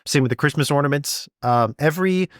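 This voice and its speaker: A man's voice: fairly high pitch, brisk, no echo, clean studio sound. A man co-hosting a podcast as a sound designer, explaining things step by step in a casual conversational style. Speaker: audio drama sound designer, podcast co-host.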